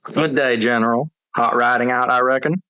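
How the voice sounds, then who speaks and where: A man's voice: mid-range voice, fairly even intonation, normal speed, bright, forceful tone, clear, resonant tone, natural voice. A man voicing a lazy, insolent army sentry with a drawling rustic American way of talking. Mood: cool, lazy.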